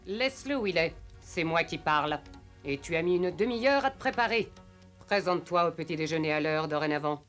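An actress playing a stern, haughty governess in a French-dubbed cartoon, giving clipped, imperious orders. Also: female voice, deep voice, lively intonation, fairly quick, a bit bright. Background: music.

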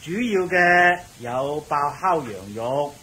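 Bright-voiced, genial old palace cook. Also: male voice, on the high side, expressive, slow, full character voice. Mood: deferential, eager. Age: older. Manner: chatty, obliging, hesitant interjections. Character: elderly, genial, deferential male palace head cook. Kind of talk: Cantonese radio drama acting. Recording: faintly noisy radio drama recording.

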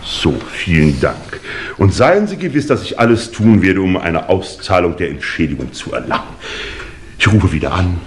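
An actor playing an officious, obsequious family lawyer with fussy formal manners in a 1950s German radio play. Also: male voice, deep voice, very animated, moderate pace, a little hiss or hum. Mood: businesslike, hurried.

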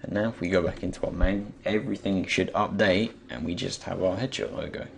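A man with a croaky, just-woken voice gives an unscripted software tutorial, hesitantly thinking aloud as he goes. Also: sits low, fairly even intonation, a little fast. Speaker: tutorial presenter. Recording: faint background noise, keyboard and mouse clicks.

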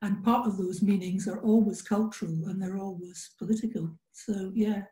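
A woman panelist makes an emphatic, enthusiastic academic point in an online seminar. Her voice is mellow and warm. The echoey room carries over the video call. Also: medium pitch, steady tone, normal speed. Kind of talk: academic discussion.

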